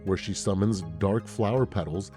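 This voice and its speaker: Male gaming creator, low mellow voice, relaxed and playful speculation read, faint music underneath. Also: moderate pace. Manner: rhetorical questions and playful asides. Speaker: gaming video creator and host. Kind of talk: casual scripted game speculation commentary. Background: background music.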